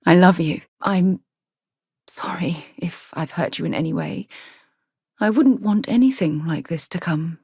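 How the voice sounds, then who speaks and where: A principled, earnest woman explorer from a space video game. Her voice sits a little low and has a husky grain. She phrases things in an articulate, measured and formal way, recorded close and dry. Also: a little mellow, no echo. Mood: tender, heartfelt. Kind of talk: video game companion voice lines.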